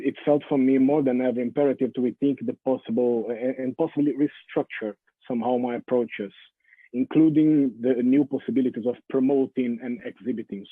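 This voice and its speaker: Man on a husky tinny call line. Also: medium pitch, dry recording. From Brazil. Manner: halting, many fillers and restarts. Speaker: guest artist. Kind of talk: panel discussion. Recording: muffled phone-like line, dry.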